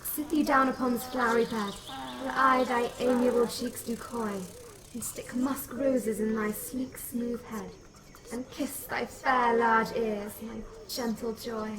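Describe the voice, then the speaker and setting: A woman's voice: fairly high pitch, steady tone, unhurried, distant mic, crowd noise, lightly acted, low-quality recording. A woman playing a doting, amorous fairy queen, tenderly cooing verse in a Shakespearean audio drama. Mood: adoring.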